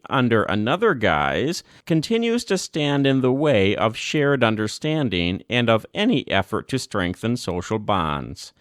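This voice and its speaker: A man narrates a formal religious text in a slow, deliberate delivery. His pitch moves widely as he stresses key words and sets them off with measured pauses. The recording is dry and close, with very little room sound. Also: dry recording. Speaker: volunteer audiobook narrator.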